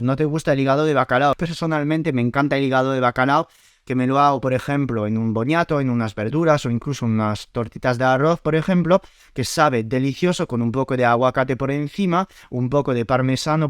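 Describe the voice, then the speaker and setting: A man's voice: mid-range voice, clear, resonant tone, no room sound, clean studio sound. A man from France with a French accent, explaining nutrition science to camera in a friendly, step-by-step way with small fillers. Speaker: nutrition YouTuber.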